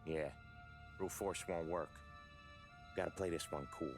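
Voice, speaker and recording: An actor playing a level-headed young mobster who leads his crew, talking in a calm, blunt voice. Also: deep voice, a little slow, music in the background. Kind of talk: video game cutscene acting. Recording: game cutscene with loud score.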